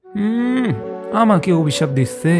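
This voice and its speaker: A man's voice: high-pitched, animated, measured pace, music in the background. A man voicing a greedy, self-pitying woodcutter in a cartoon folk tale. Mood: dismissive. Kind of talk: animated folk-tale dialogue.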